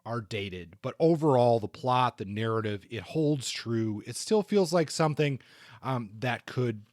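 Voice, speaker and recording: A man's voice: mid-range voice, expressive, a little slow, darkish tone, no echo, clean studio sound. A man co-hosting a podcast, talking through book reviews in a conversational way. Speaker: podcast co-host.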